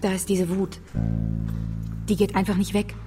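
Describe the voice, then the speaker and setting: An actress playing a weary, frustrated, self-critical woman, confiding haltingly in a naturalistic radio drama. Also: medium pitch, little pitch movement, music in the background, lightly acted. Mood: overwhelmed.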